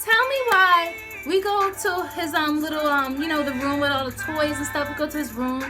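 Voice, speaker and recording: A young woman with an American accent and a very high-pitched voice tells a casual story straight to her viewers. She is animated and slangy, slipping into acted-out dialogue as she goes. Music plays along behind her voice. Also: normal speed. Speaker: storytime vlogger.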